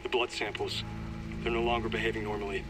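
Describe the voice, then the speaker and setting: A man playing an anxious, hushed scientist in an audio drama, urgent and halting as he reports. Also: on the low side, level tone, music in the background, close to an everyday voice. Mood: baffled, grave. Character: worried, hushed male scientist reporting a puzzling finding. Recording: very noisy, distant-sounding call.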